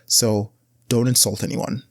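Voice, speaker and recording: A man hosting a casual solo podcast, giving blunt advice in a rambling, conversational way that often jumps up in pitch to stress a point. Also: level tone, measured pace, gravelly, dry recording, studio quality. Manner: rambling, with frequent restarts and filler words. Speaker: podcast host.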